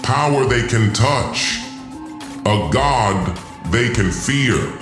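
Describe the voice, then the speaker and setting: A man's voice: mid-range voice, lively intonation, very slow, reverberant, over music. A man voicing a scheming alien overlord who poses as a god, commanding and contemptuous, in a science fiction audio drama. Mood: contemptuous, zealous.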